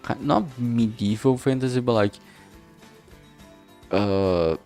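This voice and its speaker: A man's voice: mid-range voice, slow, warm tone, soft background music. A man chatting casually on a work stream, thinking aloud hesitantly and often trailing off. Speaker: streamer making a visual novel.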